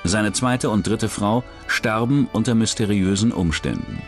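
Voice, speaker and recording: Guy narrating a true crime doc, deep voice that moves around a lot. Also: animated, unhurried, soft background music. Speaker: documentary narrator.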